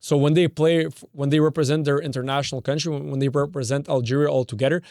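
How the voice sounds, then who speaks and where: A man's voice: a little high, steady tone, fast talker, vocal fry, darkish tone, no echo, clean studio sound. A young man from Canada whose first language is Serbian, hosting a podcast in a rambling way, often correcting himself and swearing casually. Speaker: podcast host. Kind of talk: casual football talk.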